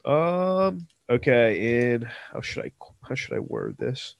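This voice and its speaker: A man's voice: medium pitch, wildly swooping pitch, takes it slowly, creaky, no room sound, dull audio. A young man with a stuffed-up, nasal voice, co-hosting a casual podcast and reading out history trivia in a rambling way. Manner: rambling, many fillers and restarts. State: stuffed-up nose. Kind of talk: casual sports podcast chat.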